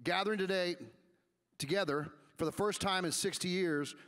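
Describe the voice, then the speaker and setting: A man's voice: high voice, normal speed, fairly bright tone. A man with a slightly raised voice presents honorees at a sports banquet in a formal, announcing manner. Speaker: ceremony co-announcer.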